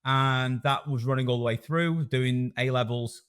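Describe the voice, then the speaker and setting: A man's voice: mid-range voice, moderate pace, fairly bright tone, smooth. Stoke-accented man, mid-range voice, plain-spoken, corrects himself a lot. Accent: Stoke-on-Trent English. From Stoke, England. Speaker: podcast guest, school director. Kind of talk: casual interview chat.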